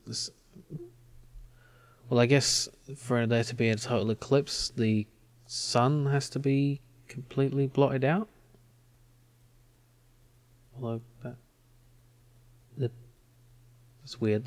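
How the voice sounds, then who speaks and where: A man's voice: medium pitch, fairly even intonation, slow, long pauses. A man with a British accent giving casual, hesitant game commentary, puzzled and thinking aloud. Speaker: gamer commentating a let's play.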